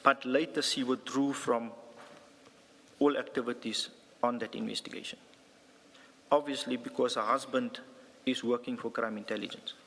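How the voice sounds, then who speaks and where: A man's voice: medium pitch, fairly even intonation, normal speed, gravelly, long pauses, sharp tone, a little hiss or hum. A South African man testifies formally as a police witness. His delivery halts and repeats at times, and the voice rings with the long reverb of a hearing room. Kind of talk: formal testimony, partly reading a statement aloud. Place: large indoor hearing room.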